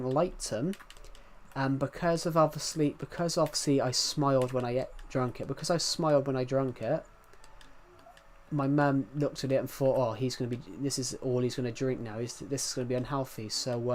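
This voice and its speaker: Young British streamer, man, thick regional accent, mid-pitched, rambling casual chat with viewers. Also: fairly even intonation, normal speed, a little mellow, a little hiss or hum. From United Kingdom. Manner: rambling, many restarts, chatty and candid. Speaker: live streamer.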